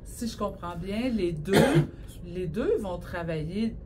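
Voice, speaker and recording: A woman's voice: mid-range voice, lively intonation, slow, darkish tone, a little hiss or hum. A woman, hosting an interview and asking casual, enthusiastic questions. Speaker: host. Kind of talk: interview host.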